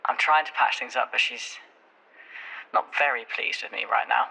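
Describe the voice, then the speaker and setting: A man's voice: medium pitch, normal speed, gravelly, bright, forceful tone, full character voice, rough recording. A man playing a concerned, wry friend on a call in an audio drama, natural and a little hesitant.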